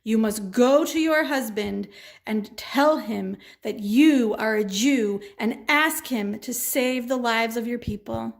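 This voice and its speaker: A woman's voice: fairly high pitch, takes it slowly, vocal fry. A woman voicing a firm, devout uncle in a children's puppet show, earnest and insistent. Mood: urgent, insistent. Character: firm, devout uncle counselling his niece.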